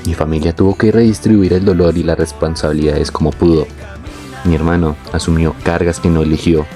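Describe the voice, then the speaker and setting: A young man reading a scripted, reflective personal narration in a measured, literary way. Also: sits low, fairly even intonation, warm tone, faint music behind. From born in Venezuela, moved to Colombia. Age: young adult. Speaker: narrator of his own life story.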